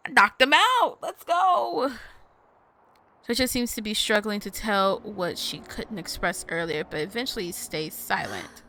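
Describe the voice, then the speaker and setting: Animated woman game streamer. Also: medium pitch, a little slow, a little hiss or hum. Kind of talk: casual playthrough commentary, reading game text aloud. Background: game music.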